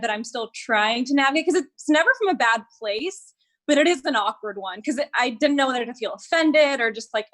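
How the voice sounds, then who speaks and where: Young woman, panel guest, somewhat high voice, candid and chatty. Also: fairly bright tone. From Dubai. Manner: candid, with lots of "like". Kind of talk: casual reflective chat.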